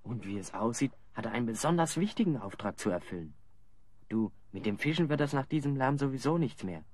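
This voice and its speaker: A man's voice: medium pitch, animated, a little fast, a little mellow, husky. A man playing a good-natured, teasing, curious adventurer hero with a wry manner in a radio play.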